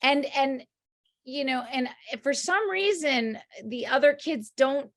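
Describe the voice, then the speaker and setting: A middle-aged woman, a doctor and author, talking as a podcast guest in an earnest, halting way. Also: high voice, expressive, no room sound, studio quality. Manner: hesitant, many fillers and restarts, earnest. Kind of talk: casual interview chat.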